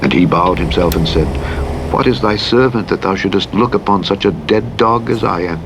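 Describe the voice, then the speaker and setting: A man reads scripture solemnly as a narrator, in a measured, formal delivery. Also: low voice. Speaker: Bible audiobook narrator. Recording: clear engine and vehicle noise. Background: truck simulator game driving sounds.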